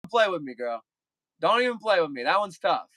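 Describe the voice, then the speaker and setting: A man's voice: sits high, big pitch swings, fairly quick, bright, forceful tone, dry recording, studio quality. A young man chatting casually with viewers on a livestream, rambling and repeating himself with lots of fillers.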